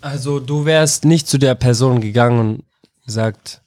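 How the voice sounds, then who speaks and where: A man's voice: medium pitch, normal speed, darkish tone. A man from Iraq interviewing young people in a casual way, often restating his questions in other words. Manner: informal, rephrases his questions several ways.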